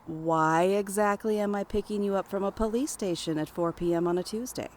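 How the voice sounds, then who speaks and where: A woman's voice: mid-range voice, fairly quick, slight background noise, natural voice. An actress plays a young woman who is the blunt, caring best friend in an audio drama. Her delivery is conversational and incredulous, over faint background ambience. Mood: curious, concerned. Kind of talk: naturalistic audio drama dialogue.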